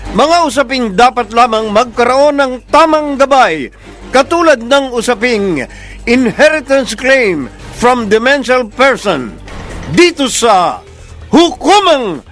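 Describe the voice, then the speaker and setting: A male radio drama host with a very high pitch. He delivers scripted introductions theatrically, with music playing behind him. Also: sing-song, slow pace, a bit bright.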